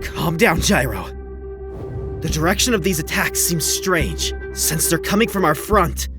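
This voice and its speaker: A man playing an anxious, questioning young horse racer in a dramatic anime fandub, excitable and incredulous in manner. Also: fairly high pitch, measured pace, over music. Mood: tense, reasoning. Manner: excitable, incredulous, swinging from muttering to shouting.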